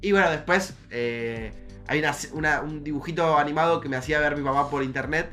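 A man's voice: on the high side, a little fast, sharp tone, soft background music. A young man with a Rioplatense Argentine accent co-hosting a casual podcast, talking in an informal, teasing, slangy way. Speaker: podcast co-host.

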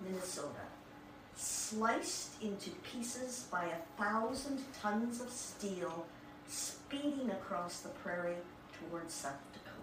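A woman talking informally to a group and reading book passages aloud, with halting, admiring asides. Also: mid-range voice. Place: echoey room with a small group present. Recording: echoey room, distant mic, clear background noise.

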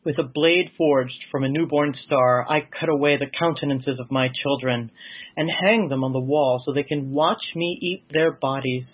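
Husky man reciting poetry, phone-like sound. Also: mid-range voice, moderate pace. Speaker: poetry reader. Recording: husky, muffled phone-like audio.

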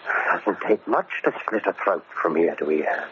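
A very deep, formal manservant hides a vengeful ex-convict beneath measured courtesy. He is politely menacing in old radio horror style, on a muffled recording with faint noise. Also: expressive. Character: vengeful ex-convict posing as a deferential, bookish manservant.

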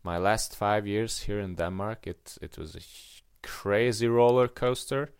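A man's voice: fairly low pitch, a little slow, smooth, no room sound, studio quality. A young man from Hungary with a Hungarian accent, co-hosting a casual podcast chat and talking reflectively with many restarts. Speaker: podcast co-host. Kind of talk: casual conversation.

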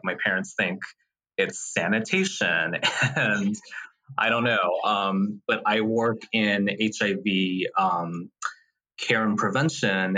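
Bright, husky man in his thirties. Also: sits low, low-quality recording. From Taiwan. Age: 30s. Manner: reflective, measured, with fillers. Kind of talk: casual conversation.

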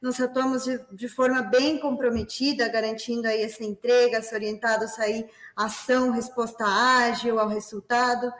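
A woman from Peru hosts a corporate webinar in a higher voice and a Peruvian Spanish accent. She talks in a friendly way and fills her speech with small tags. The sound is roomy and echoey, as on a video call. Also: flat delivery, moderate pace, few pauses, a bit bright. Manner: friendly, many fillers like "né" and "tá". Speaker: webinar host, business development manager.

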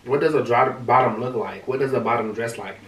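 Podcast co-host, man with a high voice, animated and quick to restart his words, recorded from a distance in a roomy space. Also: a little hiss or hum. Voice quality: high-pitched voice. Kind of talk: casual podcast chat. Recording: roomy sound from a distant mic.

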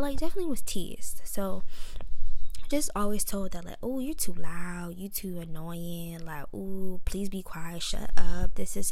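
A woman in her twenties with a high, girlish voice, talking alone into a microphone in her bedroom. She speaks candidly and with emphasis, often dropping into whispers. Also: low-quality recording. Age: 20s. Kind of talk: personal monologue. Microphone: microphone in her room.

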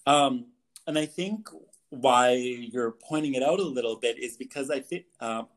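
A young Canadian man, a photographer, answers interview questions in a casual, earnest way, halting often with fillers and restarts. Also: mid-range voice. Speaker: guest photographer.

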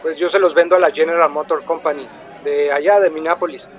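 An actor playing an earnest, polite traveling wood buyer who explains himself eagerly in a radio drama. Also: male voice, on the high side, steady tone, a little fast, natural voice. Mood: patient explaining. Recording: crowd murmur of a café behind.

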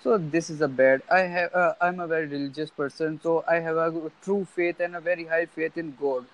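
Halting young man, high voice. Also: steady tone, low-quality recording. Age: 20s. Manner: halting, many restarts and fillers. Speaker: conversation partner. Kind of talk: casual chat.

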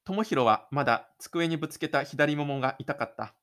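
A man's voice: fairly high pitch, normal speed, a bit bright. A man reading scripted third-person narration for an audio drama in a dramatic storytelling manner. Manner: dramatic storytelling with spoken sound effects.

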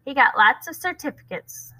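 A woman voicing a quiet, withdrawn young boy who answers politely and reluctantly. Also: sits high, lively intonation, normal speed, pitched above the performer's own voice, low-quality recording.

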